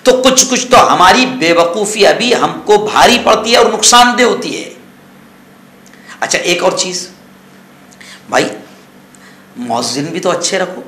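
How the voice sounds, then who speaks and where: High, bright-voiced male cleric, echoey. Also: expressive, a little slow, pauses often. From India. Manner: exhortative, scolding, conversational with rhetorical questions and repetition. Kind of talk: informal sermon-like news commentary.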